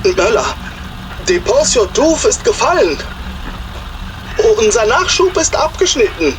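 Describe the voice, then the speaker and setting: A woman's voice: fairly high pitch, big pitch swings, a little slow. A woman playing a tense German wartime aide in a video game, formally reporting bad news. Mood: tense, grave. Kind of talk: dramatic game cutscene dialogue. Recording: distant voice over engine noise.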